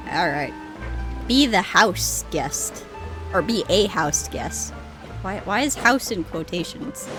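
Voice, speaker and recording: A young woman streamer chatting casually over her game, swearing often and laughing at her own slip-ups, on a desk mic. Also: mid-range voice. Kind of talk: casual gameplay commentary. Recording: game music playing behind.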